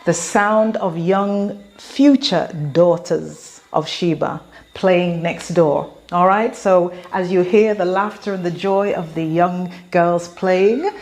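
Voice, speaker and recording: An English-accented woman in her fifties, giving an earnest, measured spoken address to an audience of women. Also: lowish voice, darkish tone. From England. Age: 50s. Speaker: motivational speaker.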